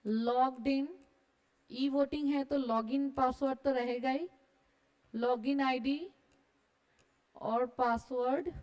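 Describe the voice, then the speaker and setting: A woman with an Indian accent and a high voice teaches a class. She dictates notes slowly, switching between Hindi and English. She keeps checking that her students are keeping up. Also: steady tone, darkish tone, rough recording. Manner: instructional, checking often that students follow.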